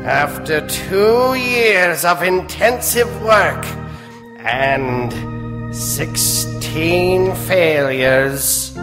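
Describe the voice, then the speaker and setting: A man plays an eerie, courteous host of strange tales in a 1940s radio drama style. He is theatrical and coaxing, speaking extremely slowly in a slightly high voice. The hall rings around him and music plays underneath. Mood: grave storytelling. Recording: echoey stage hall, music behind.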